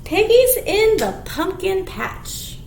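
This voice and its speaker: A woman's voice: very high voice, very animated, slow pace, a little mellow. A woman presenting a storytime for young children, reading picture books and rhymes aloud in an exaggerated, sing-song way. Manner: sing-song, exaggerated, with dramatic gasps and simple explanations for little ones. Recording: roomy echo, clear background noise.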